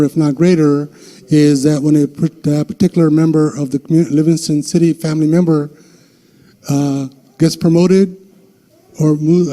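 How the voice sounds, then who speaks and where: Male official, ceremonial and formal, slightly high pitch, very soft dark tone, measured phrasing for oath-giving. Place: indoor city council meeting.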